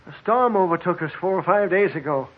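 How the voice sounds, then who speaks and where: An actor playing a weary, skeptical prospector lost in the desert, plain-spoken and emphatic, in an old radio drama. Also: male voice, high voice, lively intonation, brisk. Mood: weary, relieved. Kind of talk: old-time radio horror drama acting. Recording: muffled old radio recording.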